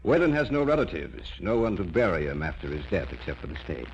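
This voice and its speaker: Deep, commanding obsessed scientist. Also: male voice, wildly swooping pitch, fast talker, slightly rough, exaggerated voice. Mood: obsessed, dismissive. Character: obsessed older male scientist father, commanding and zealous. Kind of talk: old-time radio drama acting. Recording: muffled, noisy vintage radio recording.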